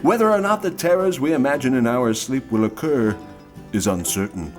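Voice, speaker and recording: A man playing a folksy, earnest, ominous insurance pitchman in an old-time radio style ad, deliberate and solemn with dramatic pauses. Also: close to an everyday voice. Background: guitar music.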